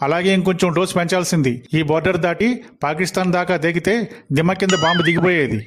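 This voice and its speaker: Man as a sardonic, fast-talking soldier. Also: high voice, steady tone, background noise, natural voice. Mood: mocking. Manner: teasing, sarcastic. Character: sardonic Tamil border soldier, mocking and blunt. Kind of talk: comic cartoon dialogue.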